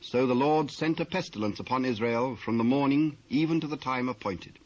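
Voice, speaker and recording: A man with a British accent narrating dramatised scripture in a measured, formal manner. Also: mid-range voice, darkish tone. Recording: muffled old cassette transfer.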